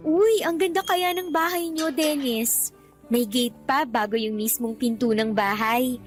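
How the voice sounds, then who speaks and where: A woman's voice: sits high, unhurried, soft background music. An actress in a Filipino radio drama playing a sweet, nervous, polite young girlfriend. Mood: chatty. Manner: chatty, polite.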